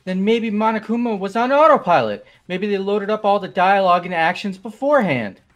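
A man voicing an excitable, alarmed, dim young man in a visual novel dub, loudly. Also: sits high, brisk. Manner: loud, excitable.

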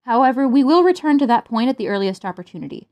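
A woman playing a composed radio news announcer in a 1930s-style drama, reading formal, measured bulletins. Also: on the high side, very animated, darkish tone.

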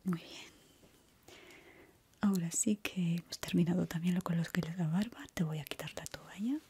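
A young woman from whose comes a soft, breathy, gentle whisper, playing a barber in an unhurried ASMR roleplay close to the mic. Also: on the low side, slight background noise. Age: young adult. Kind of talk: ASMR roleplay, personal attention. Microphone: close ASMR microphone.

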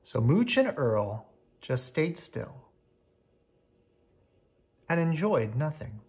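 Storybook reader, bedtime read-aloud: unhurried, lively intonation, theatrical and playful. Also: mid-range voice. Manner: theatrical, with playful sound effects and pauses.

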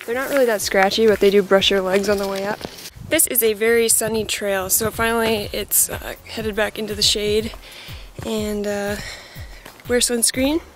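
A woman's voice: mid-range voice, steady tone, moderate pace, faint music behind. Chatty young woman giving trail tips. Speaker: newspaper reporter. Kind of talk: casual hiking vlog. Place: outdoors on a forest trail, some lines in a car.

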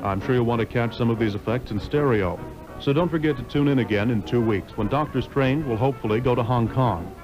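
A man's voice: mid-range voice, brisk. A man narrating and announcing in a scripted radio drama style. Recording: dull old monaural radio recording, music.

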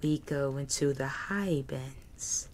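A woman's voice: rumbling low, a little mellow. Woman voicing a hissing reptilian creature. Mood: menacing. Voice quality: rasping, hissing. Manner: slow broken speech with whispered hisses. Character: hissing reptilian male creature, bitter and vengeful. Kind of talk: audiobook character voice.

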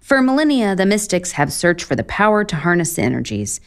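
An actress playing a flustered, self-deprecating author in a romantic comedy audio drama, with an American accent and halting, deadpan asides. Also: female voice, lowish voice, smooth. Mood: halting reading aloud. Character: flustered, sardonic, self-deprecating woman author on book tour.

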